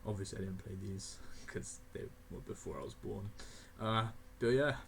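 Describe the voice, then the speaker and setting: An eighteen-year-old man rambling casually through a game tier list, laughing often and filling pauses with ums and uhs. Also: on the low side, gravelly, a bit bright, slight background noise. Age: young adult (18). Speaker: gaming video creator.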